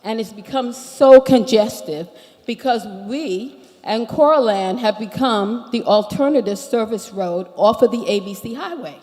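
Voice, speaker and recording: A woman's voice: on the high side, measured pace, darkish tone. A woman from Barbados with an American accent, speaking as a resident at a public meeting and laying out her complaints point by point. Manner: earnest and methodical, listing complaints point by point. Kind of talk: public comment at a town hall. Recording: echoey hall.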